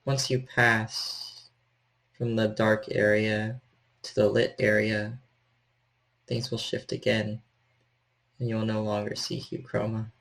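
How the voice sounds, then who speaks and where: A man working as storyteller, narrating a horror role-playing game in a measured, descriptive way. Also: lowish voice, monotone, creaky, a bit bright.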